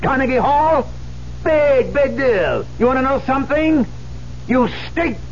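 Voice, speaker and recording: Volatile, bitter aging pianist, swooping high voice. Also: male voice, lively intonation, measured pace, full character voice. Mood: scornful, furious. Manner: blunt, sarcastic, exacting. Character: bitter, proud, irascible aging male concert pianist, now finished. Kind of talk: 1970s American radio drama acting. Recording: dull 1970s radio broadcast, faint hum.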